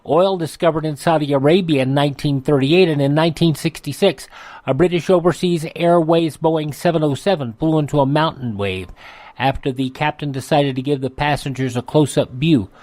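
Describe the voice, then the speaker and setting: A man's voice: on the high side, moderate pace, darkish tone, no echo. An American man reading history items as a radio host in a scripted narration style.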